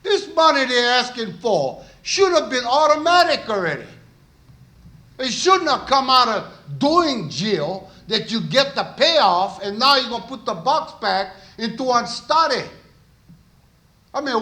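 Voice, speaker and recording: Forceful older Hawaiian man, high-pitched and bright. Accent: Hawaiian local. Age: 60s. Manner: forceful, confrontational, with blunt rhetorical questions. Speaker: activist testifying at a public meeting. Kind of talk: impassioned public testimony.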